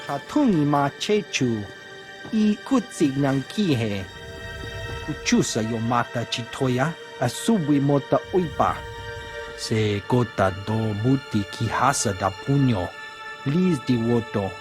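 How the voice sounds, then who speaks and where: Alien: mid-pitched, very slow, deliberate game creature speech over music. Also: male voice, expressive. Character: alien speaker of an invented language. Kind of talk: video game cutscene acting.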